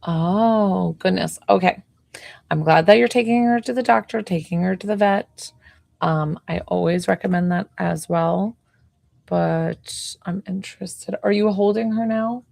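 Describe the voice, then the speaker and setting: Soft-spoken, slightly hoarse woman with a gravelly low voice. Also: measured pace, no room sound. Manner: talks softly, chatty with many "like"s. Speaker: intuitive healer. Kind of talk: casual live-stream chat.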